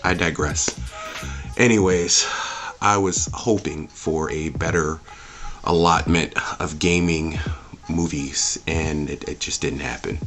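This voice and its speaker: A man's voice: extremely low pitch, fairly even intonation, slow pace, a bit bright, faint music behind. A man hosting a review channel, speaking off the cuff in a blunt, emphatic, opinionated rant full of rhetorical questions and swearing. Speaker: host of a movie and game review channel.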